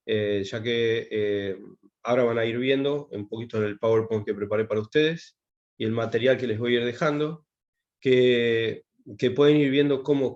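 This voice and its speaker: A man, talking at a middle pitch as he gives an online class. He hesitates often and restarts his sentences. The sound is dull and lo-fi, like video call audio. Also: darkish tone. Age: 50s. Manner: hesitant, many fillers and restarts. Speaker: taekwondo instructor teaching English.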